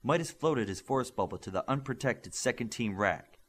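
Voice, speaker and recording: A man reading an audiobook aloud, with steady, expressive storytelling. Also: mid-range voice, moderate pace. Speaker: audiobook reader. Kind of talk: audiobook narration.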